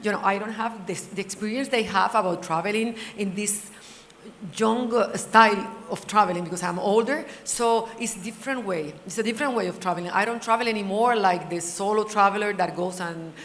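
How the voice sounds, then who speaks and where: A woman's voice: mid-range voice, a little fast. A middle-aged woman from Cuba, a native Spanish speaker with a Cuban accent, talking conversationally and animatedly as a panel speaker. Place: large indoor hall at a summit. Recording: reverberant hall with faint background noise.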